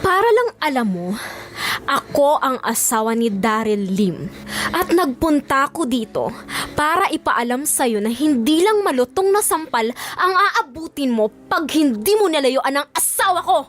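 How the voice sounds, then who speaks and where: A woman's voice: sits high, sing-song, unhurried, a bit bright, exaggerated voice. A woman playing a furious, vengeful wife with a Filipino accent, threatening her rival in an audio drama. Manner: confrontational, threatening.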